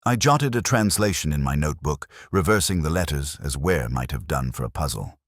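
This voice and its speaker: A man playing a melancholy police detective, narrating a mystery in a measured, literary, reflective way. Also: a bass voice, animated, no room sound, clean studio sound. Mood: quietly uneasy. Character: brooding, melancholy male police detective narrating his case. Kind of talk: scripted first-person mystery narration.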